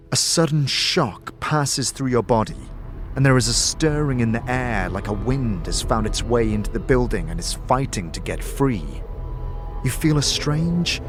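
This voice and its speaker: Male narrator for dramatic fantasy audio story, medium pitch, background music. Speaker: narrator and game master. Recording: music under the voice.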